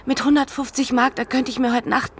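Radio drama role, played by an actress: desperate homeless widow, resigned and halting, higher pitch, ambient noise behind her. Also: flat delivery, natural voice. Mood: insistent. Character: desperate, proud homeless widow, world-weary and bitter. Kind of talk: German radio crime drama acting.

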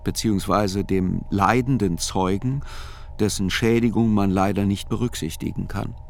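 A man giving scripted first-person literary narration in a radio play, measured and reflective. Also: on the low side, fairly even intonation, slight background noise.

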